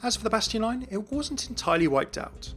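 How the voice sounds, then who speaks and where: A man with a slightly higher-than-average voice narrates a scripted lore video. He phrases it like a dramatic story, measured and deliberate. Faint guitar music plays behind him. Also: expressive, a bit bright.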